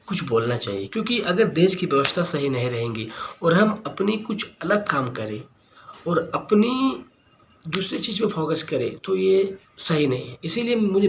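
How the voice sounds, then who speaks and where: A man's voice: fairly high pitch, fairly bright tone, slight background noise. A man giving an earnest opinion monologue to viewers as a vlogger, speaking emphatically and repeating key words and phrases.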